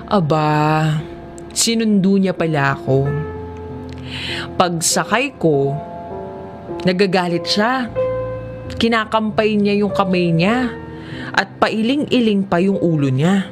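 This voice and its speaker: A Filipino narrator telling a first-person confessional drama story in a measured way, often pausing mid-sentence. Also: mid-range voice.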